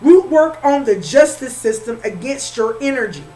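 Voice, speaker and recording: A woman's voice: fairly high pitch, lively intonation, moderate pace, mellow, faint music behind. A woman with a Southern accent doing an informal tarot reading, repeating herself and calling the listener honey. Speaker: spiritual reader.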